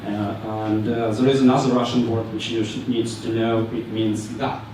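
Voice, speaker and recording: A man from Ukraine with a Ukrainian accent presents to a live meetup crowd, pausing on fillers and dropping dry jokes. He is picked up from well across a very echoey room, with a faint hum of ambience underneath. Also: medium pitch, little pitch movement, a little mellow, recorded from a distance. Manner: halting, frequent fillers, joking asides. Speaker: meetup speaker.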